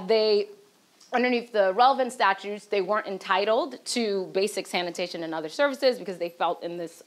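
Woman prof talking on a panel, lots of ums and "right?", lecture hall, heard from a distance. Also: mid-range voice. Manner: measured, with frequent fillers and "right?" tags. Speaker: law professor on a panel. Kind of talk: panel talk to an audience. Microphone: far camera in a lecture hall.

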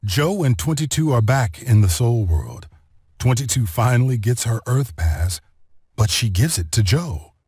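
A man narrating a children's read-along story in an expressive, deliberate storytelling manner. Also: mid-range voice, no room sound, clean studio sound. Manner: expressive, deliberate storytelling delivery with clear phrasing.

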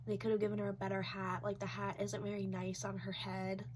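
A young woman with a congested, stuffy voice talks in a loose, rambling way, full of fillers. Her pitch sits in the middle for a woman. A faint hiss of room noise is behind her in a small bedroom. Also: monotone, a little fast. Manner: rambling, chatty, many "like"s and "um"s. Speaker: doll collector vlogger. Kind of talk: casual review chat. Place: small decorated bedroom, indoors.

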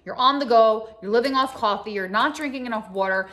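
Higher-pitched woman giving casual, emphatic advice. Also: brisk, a bit bright. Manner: conversational, emphatic, addresses the audience directly. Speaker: health coach and video host. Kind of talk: casual instructional talk to camera. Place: home kitchen, indoors.

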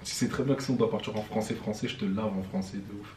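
A man's voice: lowish voice, fairly even intonation, quick pace, a little mellow, echoey room. A young man from France chatting with his wife on a couples vlog, talkative and full of street slang. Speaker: vlogger's husband. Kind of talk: casual couple Q&A chat. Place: living room with fireplace screen.

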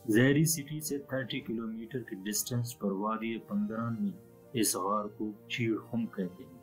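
A man with a lowish voice narrates an outdoor travel vlog in a calm, even, scripted voice-over. Soft music plays quietly behind him. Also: moderate pace, low-quality recording. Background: soft background music.

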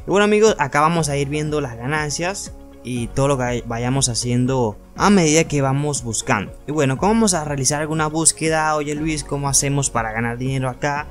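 Higher-voiced Venezuelan man over music. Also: brisk. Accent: Venezuelan Spanish. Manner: addresses viewers as friends, repetitive explanatory phrasing. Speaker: tutorial channel host. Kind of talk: informal screen-recorded tutorial narration. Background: background music.

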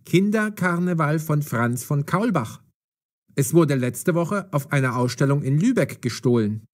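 Commanding, mocking police detective. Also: male voice, on the high side, expressive, measured pace, darkish tone. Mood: stern, probing. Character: gruff, mocking police detective, stern but fair. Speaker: voice actor. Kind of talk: audio drama for language learners.

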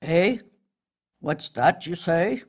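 A woman's voice: on the low side, lively intonation, drawn-out words, a little mellow, slightly rough, full character voice. A woman voicing a proud, dry, worldly talking piece of spruce wood in a storybook. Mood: welcoming. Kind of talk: storybook character reading.

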